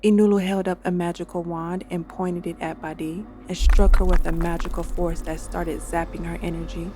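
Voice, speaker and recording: A woman narrating her own dark fantasy audio drama in a steady storytelling style. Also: lowish voice, normal speed, background noise. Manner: steady storytelling, voices every character. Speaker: narrator and author.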